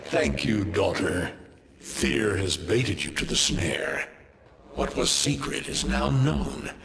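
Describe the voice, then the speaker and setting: An actor playing an ancient male sentient destroyer in a video game, speaking in slow, cryptic, menacing pronouncements. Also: low voice, very animated, vocal fry, heavily acted. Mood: triumphant. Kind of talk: video game cutscene voice acting. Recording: reverberant with loud score.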